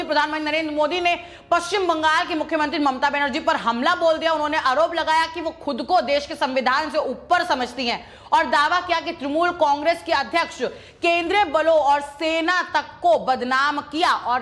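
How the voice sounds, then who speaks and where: A woman's voice: sits high, normal speed, few pauses, fairly bright tone. A woman presenting opinionated news commentary in an emphatic, accusatory, repetitive way.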